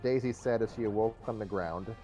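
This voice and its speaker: A man's voice: on the low side, a little fast, mellow, muffled recording. A man reading a comic story aloud as narrator on a live stream, sometimes repeating phrases, with music behind him. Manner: stumbles over and re-reads phrases.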